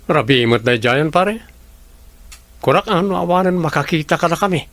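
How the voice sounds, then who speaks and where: A man's voice: on the high side, lively intonation, normal speed, fairly bright tone, dry recording. A man with an Ilocano accent acting an evasive, sweet-talking unfaithful husband in a radio drama. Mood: defensive.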